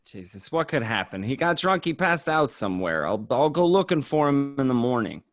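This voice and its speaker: A man's voice: mid-range voice, very animated, moderate pace, no echo, studio quality. A man acting a streetwise, exasperated gangster in an amateur radio play table read. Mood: weary, placating.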